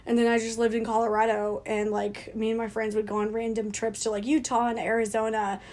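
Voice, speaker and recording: A woman's voice: fairly high pitch, flat delivery, brisk, a little mellow. Scratchy-voiced young woman, chatty podcast co-host. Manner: chatty, laughs often. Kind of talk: casual chat. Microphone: leaning close to a phone.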